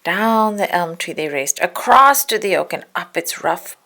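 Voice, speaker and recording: Low-pitched, creaky woman reading a storybook. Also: expressive, measured pace, pushed and bright. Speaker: storybook reader. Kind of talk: children's story read-aloud narration.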